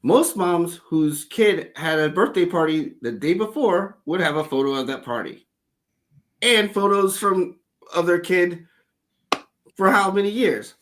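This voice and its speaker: A man chatting on a livestream, with a voice pitched a little high. He tells his story loosely and with energy, and swears now and then. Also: unhurried. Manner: animated, loose storytelling with profanity. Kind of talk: casual livestream chat.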